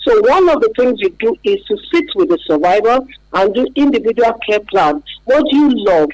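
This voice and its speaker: A woman's voice: medium pitch, very animated, normal speed, darkish tone, dry recording, low-quality recording. A woman with a Nigerian accent speaking as an expert guest in a radio interview, explaining emphatically with repetition and examples. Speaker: interview guest, expert on supporting trafficking survivors.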